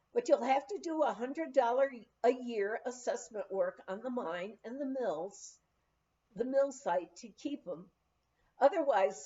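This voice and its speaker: A woman's voice: on the high side, dark tone. A woman voicing a folksy, plainspoken old male miner in an audiobook memoir, with rustic, colloquial phrasing, on a dull recording.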